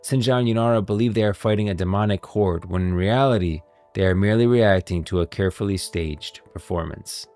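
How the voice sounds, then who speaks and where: Guy with a lowish voice breaking down game lore on stream, emphatic, bit of music in the back. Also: brisk, few pauses, darkish tone. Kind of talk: lore analysis, part scripted, part off-the-cuff commentary.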